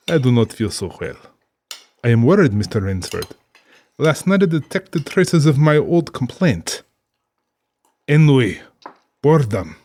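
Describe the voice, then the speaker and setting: An actor playing a cultured, genially menacing general and big-game hunter in a dramatized audiobook, genteel, theatrical and condescending. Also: male voice, mid-range voice, dark tone. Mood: bored, disdainful.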